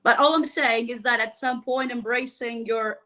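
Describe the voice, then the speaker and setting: A woman's voice: fairly high pitch, fairly even intonation, normal speed, bright, forceful tone. A woman from Greece with a Greek accent, a metal singer chatting as a guest in a live interview, laughing often and talking with plenty of fillers. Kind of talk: casual live-stream interview.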